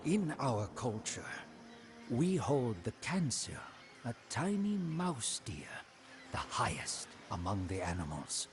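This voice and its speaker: An actor playing a proud, devoted commander of a royal guard, narrating a game's storybook in a solemn, deliberate manner. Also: male voice, mid-range voice, very animated. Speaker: narrator of a game campaign story. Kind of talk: scripted storybook narration in character. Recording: music behind the voice.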